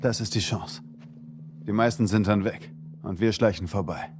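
A man's voice: lowish voice, normal speed, a little mellow, soft background music, natural voice. An actor playing a young male survivor in a video game, a protective and confident older brother who speaks informally and assertively. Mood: confident, conspiratorial. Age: young adult. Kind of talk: dramatic video game acting.

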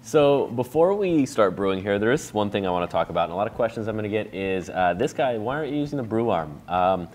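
A man in his thirties walks through a coffee brewing recipe in a relaxed, chatty how-to style, explaining each step as he goes. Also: fairly low pitch, fast talker, few pauses, slight background noise. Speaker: coffee shop owner demonstrating a brew recipe. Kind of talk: casual how-to demonstration. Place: bright indoor room with a table.

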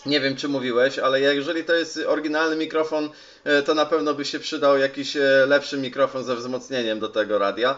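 A man talking casually as a radio operator on a contact, with a hesitant, halting delivery, recorded on a phone indoors. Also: mid-range voice, fairly even intonation, fairly bright tone. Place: small indoor room at home. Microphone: handheld phone filming the radio. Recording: dull, lo-fi phone video sound.

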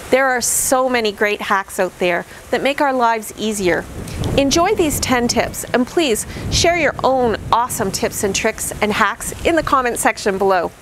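A middle-aged woman reading a scripted list of camping tips to camera, in an upbeat, clearly enunciated presenter manner, standing outdoors by a camper trailer. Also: on the high side, expressive, moderate pace, noisy background. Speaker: vlogger.

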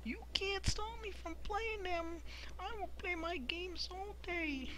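A man voicing a whiny, defiant little kid obsessed with video games, in a drawn-out whine. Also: very high voice, background noise, voice pushed up. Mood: whiny defiance. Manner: whining, drawn-out.

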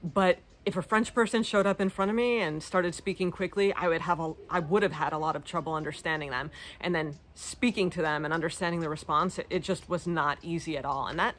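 A woman's voice: fairly low pitch, a little fast, few pauses, fairly bright tone. A woman in her thirties with an American accent, chatting informally and self-deprecatingly as she answers questions in a vlog. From United States. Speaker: vlogger. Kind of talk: casual chat answering viewer questions. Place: outdoors in a leafy garden. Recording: clear outdoor background noise, garden ambience.

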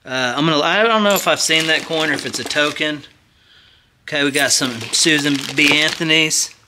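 A man in his thirties hosting a casual storage-unit vlog, chatty and excited, talking straight to viewers as he digs through boxes in a storage hallway. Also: on the high side, normal speed, background noise. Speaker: storage unit treasure hunter host. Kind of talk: casual vlog unboxing chat. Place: indoor self-storage hallway.

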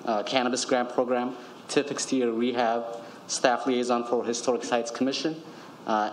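Young guy from ethiopia at a podium mic, lowish voice, lots of ums, faint hiss. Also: poor audio. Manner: polite, hesitant, many fillers. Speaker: city GIS analyst and projects manager. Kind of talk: self-introduction at council meeting. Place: large council chamber at podium. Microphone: podium microphone.

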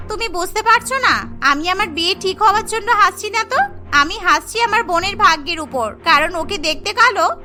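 A woman's voice: extremely high pitch, bright, forceful tone. A woman voicing a vain, spiteful young sister in a Bengali cartoon story, boastful and mocking.

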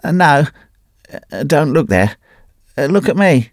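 A man playing a broken, ragged, grey-haired wanderer who whines and pleads, halting often with "uh" as he speaks. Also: fairly high pitch, big pitch swings, dry recording, studio quality, voice pushed up. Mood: pleading. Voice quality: whining, whimpering.

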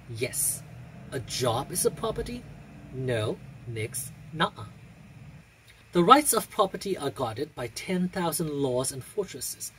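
A young adult with an androgynous, husky voice reciting poetry in a measured, theatrical way with deliberate pauses. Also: mid-range voice, sharp tone, poor audio. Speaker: poetry reader.

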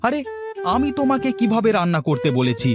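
High-voiced exasperated cartoon husband played by a man. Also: big pitch swings, fairly quick, over music. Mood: disgusted, scolding. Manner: scolding, with questioning interjections. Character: exasperated, scolding village husband, quick to anger. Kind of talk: cartoon voice acting.

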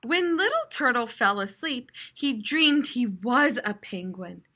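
An American woman in her twenties, a teacher reading aloud to young children in an expressive, explanatory way. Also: fairly high pitch, a little slow. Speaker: primary school teacher. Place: classroom, indoors.

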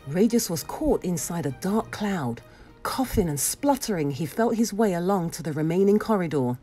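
A woman reading a children's storybook aloud with dramatic, animated expression. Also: on the low side, normal speed, soft background music. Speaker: storybook reader.

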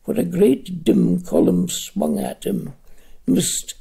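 An older man reading his own novel aloud at home, in a slow, dramatic literary reading. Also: huge pitch swings, gravelly, mellow, slightly rough. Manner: slow, dramatic reading with wide swings of pitch.

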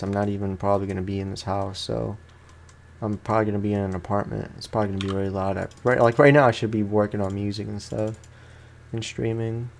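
A man's voice: on the low side, gravelly. A man giving casual, rambling let's-play commentary with informal slang, chatting to viewers as he plays. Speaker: gaming content creator, let's play host. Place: room at home.

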